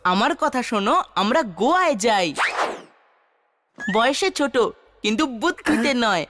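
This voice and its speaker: A woman's voice: a little high, sing-song, normal speed, background noise. A woman voicing a cheeky, scheming young boy in a cartoon, coaxing and theatrical in manner. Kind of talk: cartoon voice acting.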